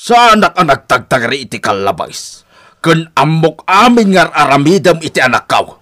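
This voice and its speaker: A man's voice: fairly high pitch, big pitch swings, normal speed, bright, forceful tone. An actor with an Ilocano accent playing a stern, indignant father defending his child in a radio drama.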